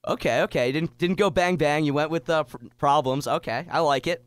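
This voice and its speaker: Young man, sports radio interviewer. Crisp mid-pitched voice, dry recording. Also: brisk, no echo. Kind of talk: pre-game radio interview.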